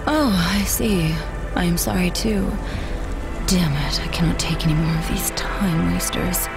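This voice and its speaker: A woman playing a brisk, self-assured dominatrix in a video game, formal, clipped and commanding. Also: lowish voice, gravelly, over loud music. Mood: impatient.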